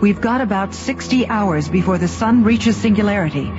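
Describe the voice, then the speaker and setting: An actress playing a brisk, bitter female research director on a space station in a science fiction radio drama. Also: mid-range voice, animated, natural voice. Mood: grave, businesslike. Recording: muffled radio drama recording over music.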